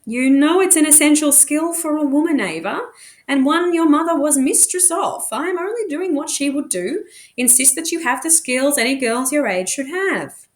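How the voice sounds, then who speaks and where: A woman voicing a brisk, stubborn, motherly Victorian housekeeper in a storybook, chiding and emphatic. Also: high voice, animated, voice pushed up. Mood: softly insistent.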